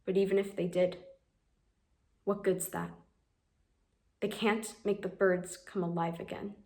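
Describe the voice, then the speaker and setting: A woman's voice: lowish voice, little pitch movement, a little slow, warm tone. A woman performing a monologue as a plainspoken young woman haunted by a cruel memory, telling it in simple words. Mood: hushed. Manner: plainspoken storytelling, builds to screams. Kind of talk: audition monologue.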